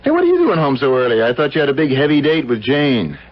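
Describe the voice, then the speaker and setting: A man's voice: medium pitch, very animated, quick pace. An actor playing a wry, friendly male housemate in a 1970s radio drama. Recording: dull, faintly noisy radio drama recording.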